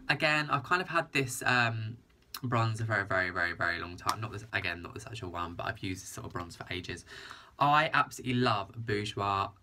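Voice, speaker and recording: A man's voice: lowish voice, bright, forceful tone, slight background noise. A young British man in his twenties, gushing through a casual makeup review, his nose blocked up from hay fever. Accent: British English. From England. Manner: gushing, enthusiastic, repeats "very, very". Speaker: beauty vlogger. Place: small indoor room with a printed backdrop.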